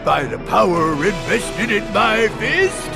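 An actor voicing a big bullying cartoon cat captain, a villain who gloats with a gravelly voice over loud game music. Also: male voice, high voice, sing-song, takes it slowly, heavily acted. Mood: gloating.

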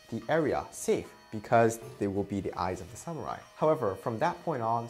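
A man's voice: on the low side, darkish tone. A young Japanese man with a Japanese accent, a karate instructor hosting a video lesson to camera, earnest and emphatic, repeating key points. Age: young adult. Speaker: karate instructor and channel host. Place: indoor studio before a plain grey backdrop. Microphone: lapel mic.

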